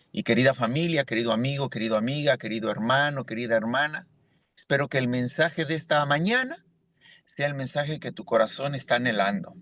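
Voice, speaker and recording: A man's voice: medium pitch, a bit bright, no room sound. A man from Mexico giving an earnest church sermon as a lay preacher, often asking "¿verdad?" to his listeners. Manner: earnest, with frequent rhetorical "¿verdad?".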